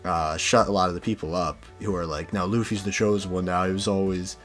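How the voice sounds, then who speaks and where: A man's voice: deep voice, fairly even intonation, brisk, faint music behind. A man in a casual podcast chat, explaining things hesitantly with plenty of fillers.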